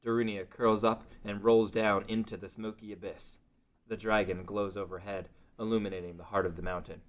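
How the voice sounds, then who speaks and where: Low-voiced man narrating a fantasy story. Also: level tone, a little fast. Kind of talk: scripted story narration in a table read.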